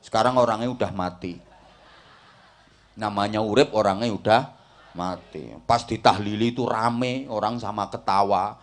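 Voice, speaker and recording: A man's voice: on the low side, fairly even intonation, normal speed. A man with a Javanese accent giving a humorous Islamic sermon in a folksy, rhetorical way, often checking that his listeners follow. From Java, Indonesia. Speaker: Islamic preacher giving a talk to a congregation.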